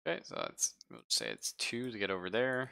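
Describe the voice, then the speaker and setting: A man running a tabletop roleplaying game, describing scenes to players in a halting, conversational way. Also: lowish voice, huge pitch swings, creaky, pushed and bright, clear, resonant tone. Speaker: game master. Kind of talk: improvised narration of a live tabletop roleplaying game.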